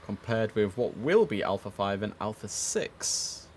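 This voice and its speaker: Low-voiced man streaming an air traffic sim. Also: big pitch swings, unhurried. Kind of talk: casual live-stream commentary mixed with controller radio phraseology.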